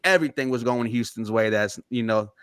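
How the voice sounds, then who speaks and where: A man on a casual sports podcast, breaking down his team's roster with excited, rapid-fire analysis. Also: mid-range voice, clean tone, no echo. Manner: excited, fast-flowing football analysis. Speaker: podcast guest.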